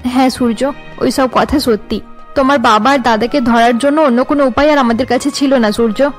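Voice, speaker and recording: A woman's voice: high-pitched, steady tone, brisk, soft background music, lightly acted. A woman playing a resolute young policewoman and wife in a cartoon drama, explaining earnestly. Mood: apologetic.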